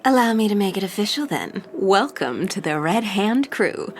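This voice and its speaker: A woman playing a cunning, seductive crime crew leader in an audio drama, with a smooth, confident, persuasive manner. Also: medium pitch, very animated, normal speed, a little hiss or hum. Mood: assured, teasing. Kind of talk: scripted audio drama acting.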